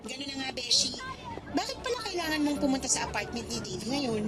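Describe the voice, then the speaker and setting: Chatty young Filipina confidante, high voice, radio drama, loud music underneath. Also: female voice, sing-song, fast talker. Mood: chatty, friendly. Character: young woman, chatty supportive best friend. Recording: loud music bed behind voice.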